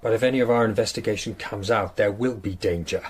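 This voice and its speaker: A man's voice: lowish voice, fast, breathy edge. An actor playing a brash, indiscreet and scornful Tudor nobleman in a radio drama. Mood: scornful, boastful.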